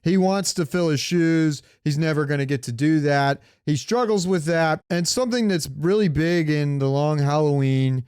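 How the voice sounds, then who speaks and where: A man's voice: fairly high pitch, normal speed, few pauses, a little mellow, clean tone, no room sound, clean studio sound. A man chatting casually and enthusiastically as a podcast co-host, with some loose profanity. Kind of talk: casual pop-culture podcast chat.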